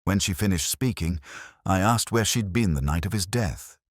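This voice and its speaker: A man reading a scripted first-person detective story in a brooding, measured, literary manner. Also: low voice, no room sound, studio quality. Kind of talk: scripted literary mystery narration.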